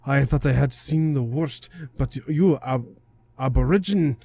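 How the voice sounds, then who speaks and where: Man putting on an indignant, haughty guardian god, loud. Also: mid-range voice, a little slow, breathy edge. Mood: scornful. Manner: scolding, formal, emphatic. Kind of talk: video game dialogue read aloud.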